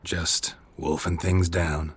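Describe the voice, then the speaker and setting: A man playing a gruff, middle-aged monster hunter in a video game, gravelly, terse and deadpan with dry wit. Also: slow pace, exaggerated voice. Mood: dry, wry. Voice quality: gravelly, low.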